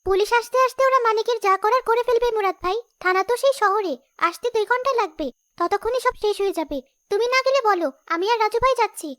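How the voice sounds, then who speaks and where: A woman voicing a brave, sharp-witted young village girl in a cartoon story, assertive and reasoning. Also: very high-pitched, quick pace. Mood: confident, urgent.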